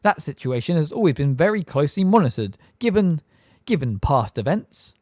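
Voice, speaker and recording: A man doing a stern, sharp female judge. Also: fairly high pitch, very animated, moderate pace, no room sound, studio quality, voice pushed up. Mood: measured. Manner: sharp, cool, impatient. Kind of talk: audiobook character voice.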